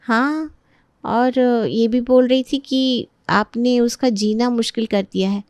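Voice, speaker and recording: A woman's voice: on the high side, steady tone, slow, no echo. A woman playing a young pregnant daughter-in-law, gossiping and resentful, in a family story drama. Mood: gossiping, aggrieved.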